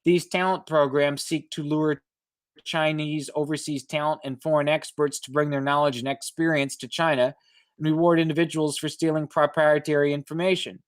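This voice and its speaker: A man reading news stories aloud in a measured way, with informal commentary. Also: a little high, level tone, no room sound.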